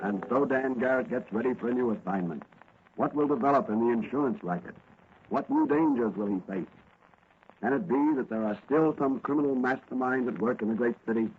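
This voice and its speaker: A man's voice: mid-range voice, very animated, brisk. Tinny old-time radio serial announcer, male. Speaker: announcer, narrator. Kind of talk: 1940s dramatic radio serial narration. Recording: tinny, faintly noisy old radio recording.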